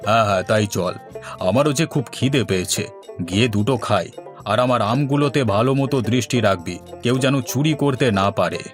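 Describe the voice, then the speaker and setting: A man's voice: mid-range voice, lively intonation, brisk, natural voice. Man playing a bossy cartoon village headman, music behind. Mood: bossy, warning. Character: bossy, suspicious village headman guarding his mangoes. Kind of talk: cartoon dialogue. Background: instrumental music.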